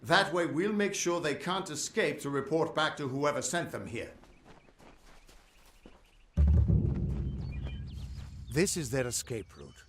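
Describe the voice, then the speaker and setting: A man's voice: a little high, animated, normal speed, a bit bright, reverberant, slight background noise. A man playing a middle-aged, boastful but loyal veteran adventurer in a fantasy game, with a theatrical, earnest delivery. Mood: determined. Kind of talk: fantasy game dialogue acting.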